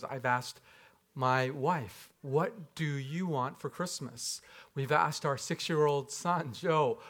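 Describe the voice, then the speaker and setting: A man's voice: a little high, fairly bright tone. A man from Japan, a pastor, giving a conversational sermon with rhetorical questions and light humor in a church. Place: church sanctuary.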